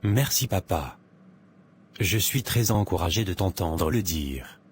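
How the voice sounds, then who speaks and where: A man's voice: deep voice, lively intonation, takes it slowly, slight background noise. A man playing an earnest, troubled young man. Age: young adult.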